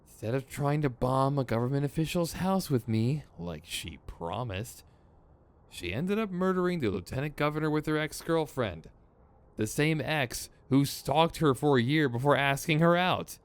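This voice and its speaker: A man's voice: medium pitch, expressive, moderate pace, natural voice. Man acting a theatrical supervillain, wildly swooping delivery. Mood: sardonic, rambling. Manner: theatrical, sardonic, rambling grumbles. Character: theatrical male supervillain, exasperated, smug, mock-menacing. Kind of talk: scripted ASMR roleplay acting.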